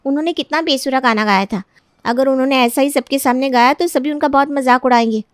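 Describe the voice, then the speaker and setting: A woman's voice: high-pitched, fast talker, smooth, no echo, clean studio sound, voice pushed up, lightly acted. A woman voicing an earnest, sweet young sister-in-law in a storybook tale.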